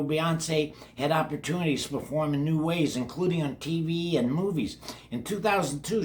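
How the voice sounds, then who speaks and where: An older man reading a children's book aloud in a folksy way, with chatty asides to his young listeners. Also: fairly high pitch. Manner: folksy, addresses children directly, repeats words. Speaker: children's read-along host.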